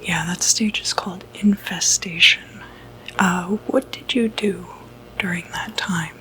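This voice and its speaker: A woman whispering breathily in an ASMR medical roleplay, playing a reassuring doctor with a hesitant, gentle bedside manner. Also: on the low side, steady tone, a little slow, poor audio. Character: calm, reassuring doctor who treats demonic possession.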